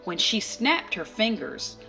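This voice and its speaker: Female storybook reader, unhurried and expressive, medium pitch, dramatic pauses, faint music underneath. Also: low-quality recording. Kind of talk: expressive children's read-aloud narration.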